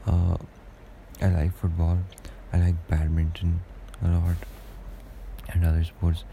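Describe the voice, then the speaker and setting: Deep, gravelly-voiced young man. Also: completely flat delivery, slow pace, mellow, a little hiss or hum. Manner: rambling and hesitant, many fillers and restarts. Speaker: podcast host. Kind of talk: casual solo podcast monologue.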